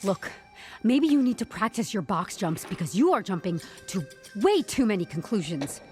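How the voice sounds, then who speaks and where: An actress playing a nervy, sarcastic young-to-the-job HR employee held captive, flustered and wisecracking, in a comedic audio drama. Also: female voice, mid-range voice, sing-song, moderate pace, darkish tone, faint music behind, lightly acted. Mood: defensive, wry.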